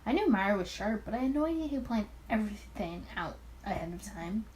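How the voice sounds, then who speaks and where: A woman's voice: mid-range voice, sing-song, brisk, a little mellow, a little hiss or hum. A woman reading a visual novel aloud as a host, with side comments, sometimes stumbling and rereading lines. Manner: stumbles over written lines and rereads them.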